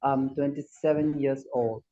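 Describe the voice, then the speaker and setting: A male student with a Karbi accent slowly repeating English phrases after his teacher in class. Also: mid-range voice, fairly even intonation, mellow, dry recording, lo-fi sound.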